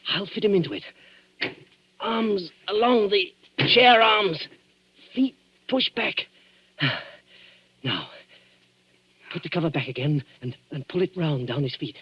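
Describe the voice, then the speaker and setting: An actor in a 1940s radio thriller playing a shaken, defensive man who has just killed, with a halting, urgent delivery. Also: high-pitched, lively intonation, breathy edge, full character voice. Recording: muffled, noisy 1940s radio recording.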